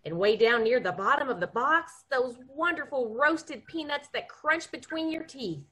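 A woman's voice: sits high, lively intonation, moderate pace, bright, forceful tone, breathy edge. A middle-aged woman announcer giving a scripted read in the style of an old-time radio commercial. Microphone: video call.

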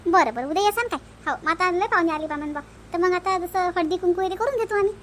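Very shrill village woman acted out. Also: quick pace, fairly bright tone, slight background noise. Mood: chatty. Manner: teasing, complaining, folksy. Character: teasing, chatty village women of a family. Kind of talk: comedy cartoon dialogue.

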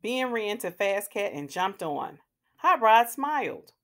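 A woman reading a children's storybook aloud in a deliberate, expressive way for young listeners. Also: medium pitch. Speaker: children's read-aloud host. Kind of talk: scripted children's storybook narration.

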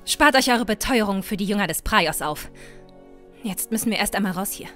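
A woman playing a bold, sharp-tongued, impatient young adventuress in a fan-made fantasy audio drama. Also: mid-range voice, expressive, moderate pace, soft background music, natural voice. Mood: dry, stern. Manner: sarcastic, bossy.

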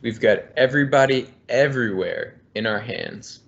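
Um homem de uns trinta anos, engenheiro ambiental, lendo um livro infantil em voz alta, de forma amigável e cadenciada, numa chamada de vídeo. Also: male voice, medium pitch, animated, normal speed, vocal fry. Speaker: environmental engineer. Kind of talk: reading a children's book aloud. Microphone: laptop webcam on video call. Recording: muffled video call audio.

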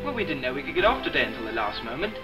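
A man's voice: medium pitch, sing-song, very fast, natural voice. An actor in his twenties playing a cheeky, bantering young English airman in a 1940s film. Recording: 1940s film soundtrack with music behind.

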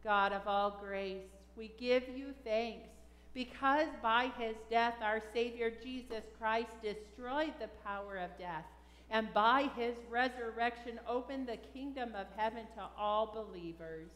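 A middle-aged woman speaking as a pastor, leading liturgical prayer in a measured, solemn way in a church. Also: on the high side, a bit bright. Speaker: pastor leading a funeral service. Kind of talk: liturgical prayer and creed recitation. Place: large church sanctuary, at the altar. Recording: echoey church hall with long reverb.

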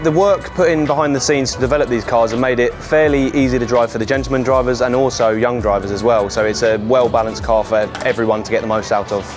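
A man's voice: mid-range voice, fairly even intonation, fast, hardly any pauses, over music. A man in his twenties from the United Kingdom, a racing driver speaking composedly in an interview. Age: 20s.

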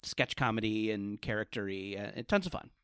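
A man's voice: lowish voice, fairly quick, fairly bright tone, smooth, no echo, clean studio sound. A chatty, enthusiastic man talking casually as a guest in a podcast interview. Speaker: podcast guest, comedy writer.

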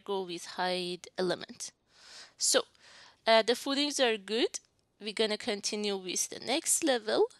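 A woman explaining a software tutorial step by step. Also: mid-range voice, no room sound. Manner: step-by-step explanatory, thinking aloud. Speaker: instructor. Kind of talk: screen-recorded software tutorial. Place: indoors at a desk.

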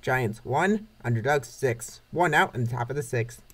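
A man's voice: mid-range voice, lively intonation, moderate pace, a bit bright, slightly rough. A man reading scripted play-by-play narration of a comic baseball game. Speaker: narrator of a comic dub series.

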